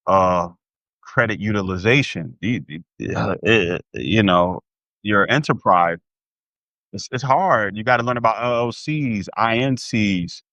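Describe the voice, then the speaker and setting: Deep-voiced American man, close dry sound. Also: animated, measured pace, bright, forceful tone, no room sound. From United States. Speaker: interview guest, former pro athlete. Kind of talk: animated personal conversation.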